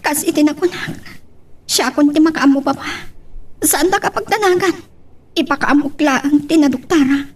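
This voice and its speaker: A woman plays a wronged wife in an Ilocano love drama, her voice set high. She speaks with an Ilocano accent, wounded and resolute, like someone determined to walk out on her marriage. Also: moderate pace, long pauses, close to an everyday voice. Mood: firm, upset. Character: hurt, betrayed wife determined to leave her husband. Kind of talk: Ilocano radio-style love drama acting.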